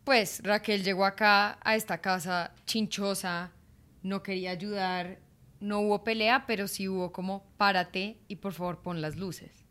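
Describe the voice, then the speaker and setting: A woman in her late twenties co-hosts a relaxed podcast, her voice sitting in an ordinary middle register. She tells stories in a chatty, animated way, leaning on fillers as she goes. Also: mid-range voice, unhurried. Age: 20s. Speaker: podcast co-host. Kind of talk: casual chat, storytelling.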